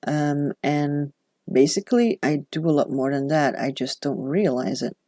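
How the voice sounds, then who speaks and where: A woman's voice: low voice, moderate pace, warm tone, no room sound. Chatty woman, dry close sound. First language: Dutch. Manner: chatty and reflective, with self-deprecating asides. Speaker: journaling vlogger. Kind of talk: casual chat.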